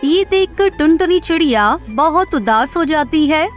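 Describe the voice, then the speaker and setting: A woman's voice: sits high, animated, a little slow, soft background music. A woman narrating a scripted children's animal story.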